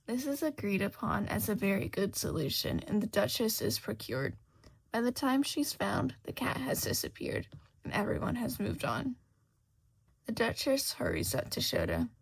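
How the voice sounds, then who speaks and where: Expressive woman narrator with a husky creak. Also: mid-range voice, normal speed, rough recording. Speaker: podfic narrator. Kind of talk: dramatic fan fiction narration.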